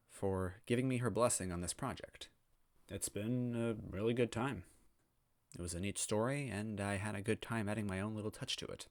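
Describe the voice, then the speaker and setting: Low-voiced man in a roomy echo. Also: clean studio sound. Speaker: fan fiction reader and channel host. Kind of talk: casual reflective outro to viewers. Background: background music.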